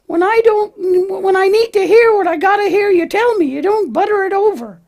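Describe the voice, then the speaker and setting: A woman voicing an excitable, childlike little lamb with an earnest, emphatic manner in a children's puppet show. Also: very high voice, brisk. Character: excitable little lamb, easily upset, devoted to friend.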